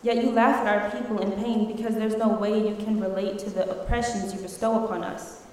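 A woman delivers a spoken word poem with emphatic, rhetorical force. Her voice sits at a middling pitch. It rings out in a large hall with a long, heavy reverb. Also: little pitch movement, fairly quick, darkish tone, a little hiss or hum. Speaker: student poet. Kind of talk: spoken word poetry recital.

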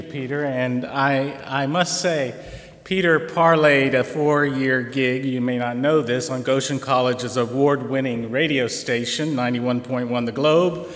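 A college president introducing award recipients at a convocation, measured and formal with a touch of humor. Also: male voice, medium pitch, fairly bright tone. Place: large auditorium. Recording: reverberant auditorium.

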